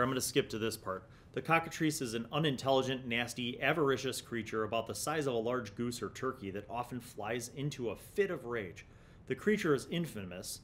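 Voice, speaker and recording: Conversational man with rumbly background noise. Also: fairly bright tone. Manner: conversational, explanatory. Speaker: host of a tabletop gaming review series. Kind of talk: casual commentary with passages read aloud from a magazine. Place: small indoor home studio. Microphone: large desktop condenser microphone.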